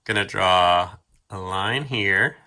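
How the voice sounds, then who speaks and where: A man's voice: low voice, slow, bright, forceful tone. A man hosting a homemade drawing video for kids, talking casually and thinking aloud in an offhand way. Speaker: host of a children's storytime and drawing show.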